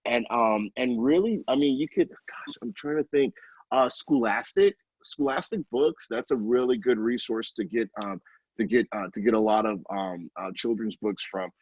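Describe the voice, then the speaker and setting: A middle-aged man giving casual interview answers as an early childhood educator, halting and often interrupting himself with informal asides. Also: mid-range voice, animated, husky, no room sound, lo-fi sound.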